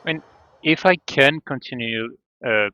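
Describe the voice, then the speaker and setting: A man chatting casually on a game livestream, halting often as he thinks aloud. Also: medium pitch, bright, forceful tone, clear, resonant tone. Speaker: game streamer. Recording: dull band-limited mic, faint music.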